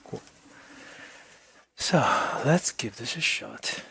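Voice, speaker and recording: Husky male instructor, casual and chatty. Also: medium pitch, animated, very slow, a bit bright. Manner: informal, chatty, checks in with "okay" and "right". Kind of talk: casual software demonstration lesson.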